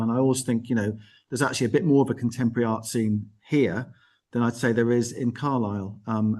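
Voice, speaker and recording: A man's voice: lowish voice, darkish tone. A man hosting a casual live arts call, talking in a chatty, discursive way. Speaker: host of an arts network online call. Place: on location at an art gallery, live video call.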